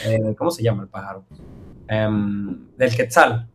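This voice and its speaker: A man with a Venezuelan accent, co-hosting a podcast and telling stories with lively enthusiasm. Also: on the low side, a little slow, slight background noise. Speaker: podcast co-host. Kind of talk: casual chat.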